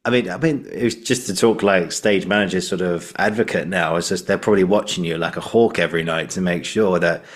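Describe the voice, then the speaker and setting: Male podcast host, deep pitch. Conversational, rambling, self-correcting. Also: a little fast, few pauses. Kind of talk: casual interview chat.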